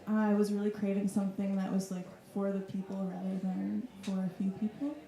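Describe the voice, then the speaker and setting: Woman from wisconsin, thirties, warm dark voice, reflective, roomy club sound. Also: medium pitch, level tone, fairly quick, gravelly. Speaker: artist guest. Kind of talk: casual reflective talk. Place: club room with audience. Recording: echoey room.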